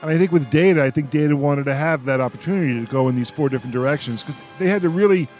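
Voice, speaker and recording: A man hosting a casual radio roundtable, giving opinions in a halting way and repeating words as he goes. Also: on the high side, darkish tone, soft background music. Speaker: roundtable host on a radio show. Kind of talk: casual panel discussion.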